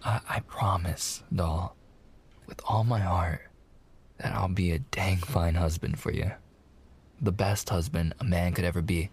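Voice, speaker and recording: An actor playing a mafia boss's young son, an eager, sweet fiancé coaxing in slangy gangster talk in an intimate roleplay. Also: male voice, sits low, steady tone, measured pace, husky, full character voice. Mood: earnest. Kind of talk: boyfriend ASMR roleplay, intimate monologue.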